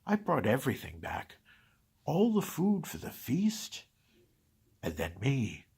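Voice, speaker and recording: A man's voice: medium pitch, huge pitch swings, slow, creaky, a little mellow, husky, heavily acted. A man voicing a grumpy, scheming Christmas-hating creature in a dramatic storybook reading, sighing and dropping into whispers. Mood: joyful. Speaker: reader.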